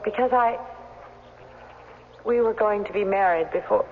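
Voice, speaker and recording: An actress playing a conflicted, fretful former fiancee, speaking in a halting, emotional way in a 1970s radio drama. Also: female voice, medium pitch, lightly acted. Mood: hesitant. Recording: tinny, hissy old radio broadcast.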